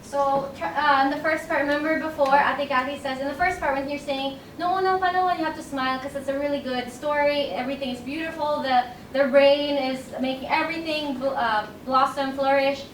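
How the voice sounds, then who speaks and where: High-voiced woman explaining patiently. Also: steady tone, fairly quick, few pauses, a little hiss or hum. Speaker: performance coach. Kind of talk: explaining to performers. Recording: echoey room audio from far off.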